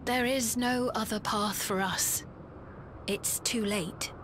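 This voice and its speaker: An actress playing a gentle, sorrowful young queen in a video game, speaking softly and formally with regret. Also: a little high, steady tone, slow pace, noisy background. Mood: resigned sorrow. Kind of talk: dramatic video game acting.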